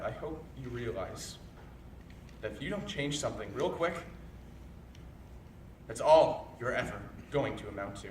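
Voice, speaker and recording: A young man acting a bitter college student, sharp and fairly high in voice, delivering a dramatic stage monologue. He is accusatory and emphatic, leaving deliberate pauses as he reproaches a former friend. The sound is roomy and distant. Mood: bitter, reproachful. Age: young adult. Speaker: stage actor. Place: indoor performance space, picked up from far off. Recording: roomy, distant live stage recording, faint noise.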